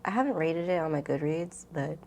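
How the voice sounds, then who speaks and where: A woman's voice: low voice, animated, brisk, darkish tone, a little hiss or hum. A woman book vlogger talking casually and informally, rambling and thinking aloud as she answers questions. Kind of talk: casual chatty vlog, answering tag questions.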